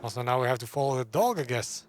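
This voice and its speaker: A man's voice: mid-range voice, animated, quick pace. Offhand male gamer muttering commentary. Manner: offhand, chuckling asides. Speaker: let's play gamer. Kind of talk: casual gameplay commentary. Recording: voice over game audio with noise.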